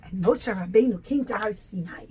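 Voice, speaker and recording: A woman's voice: medium pitch, normal speed, darkish tone, slight background noise. A woman telling a story to young children in simple, repetitive, emphatic phrases. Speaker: children's puppet show storyteller.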